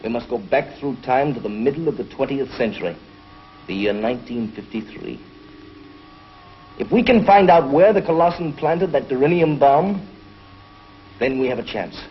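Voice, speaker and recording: An actor playing a resolute space hero in a 1950s TV serial, decisive and emphatic. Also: male voice, mid-range voice, expressive, normal speed, long pauses, close to an everyday voice. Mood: urgent resolve. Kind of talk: 1950s TV science fiction acting. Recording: muffled, hissy 1950s TV soundtrack.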